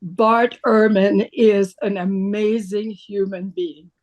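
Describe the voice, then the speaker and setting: A woman's voice: mid-range voice, steady tone, slow pace, highs cut off. A woman from Belgium, a book club member, telling an earnest story on a video call. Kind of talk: video call group discussion. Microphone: computer mic on video call.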